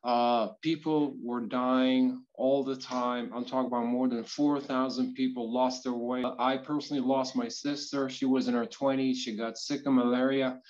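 Even-toned middle-aged man. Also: medium pitch, moderate pace, hardly any pauses. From born in Ethiopia, moved to Israel. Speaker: guest speaker. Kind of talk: personal life story narration. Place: indoors, on video call. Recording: slightly dull video call audio.

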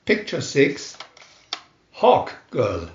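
Man, slow deliberate announcer-style reading, dramatic, animated pitch, roomy echo. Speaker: booklet reader and channel host. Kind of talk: slow announcer-like scripted narration for children. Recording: roomy echo, dull lo-fi sound.

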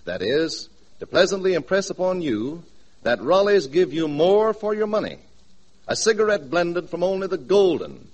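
A man's voice: on the high side, low-quality recording. A man announcing on 1940s radio, reading an advertisement in a polished, emphatic manner.

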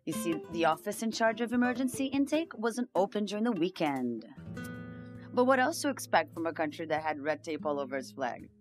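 A woman's voice: lowish voice, sing-song, brisk. An actress playing an earnest, weary homeless migrant woman, confiding her story in a conversational audio-drama monologue. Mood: reflective, rueful. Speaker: narrator-protagonist of an audio drama. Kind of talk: first-person dramatic monologue. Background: plucked guitar music.

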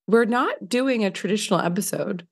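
A woman in her thirties, hoarse from a recent illness, telling a story on a podcast in a casual, filler-heavy way, laughing often. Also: mid-range voice, moderate pace. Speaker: podcast co-host. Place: home living room by windows. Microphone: large studio mic on video call.

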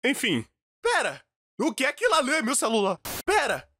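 A man with a Brazilian accent narrating a comedic animated story in a theatrical, exaggerated way. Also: fairly quick, no echo, studio quality. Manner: theatrical, exaggerated, swinging from low mutters to shrill outbursts.

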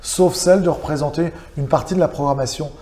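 Middle-aged man, gallery host, fairly high voice, roomy echo, easygoing chat. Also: fast talker, mellow. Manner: easygoing, with frequent fillers. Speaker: gallery owner hosting the web series. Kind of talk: relaxed conversational interview. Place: small gallery salon, indoors, on a sofa.

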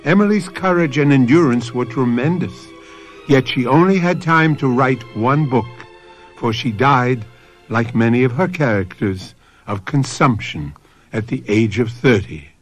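A man hosting and narrating a radio drama as a measured, theatrical storyteller. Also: mid-range voice, lively intonation, soft background music, natural voice. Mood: solemn. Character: theatrical storyteller host of a mystery anthology. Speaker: host and narrator.

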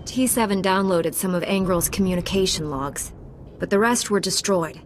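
A woman playing a composed, resolute knight in a video game, serious, measured and direct. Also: medium pitch, a little hiss or hum, close to an everyday voice. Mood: composed, businesslike.

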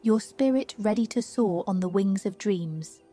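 A woman reading a scripted guided sleep meditation in a slow, soothing, hushed manner. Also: mid-range voice. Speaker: meditation narrator. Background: music.

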